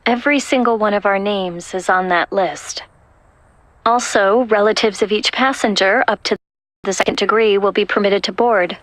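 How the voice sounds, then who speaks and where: A woman's voice: medium pitch, normal speed, a bit bright, no room sound, close to an everyday voice. A woman playing a determined young soldier turned rebel in a game, serious and firm as she explains things. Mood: grave briefing. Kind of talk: dramatic game cutscene acting.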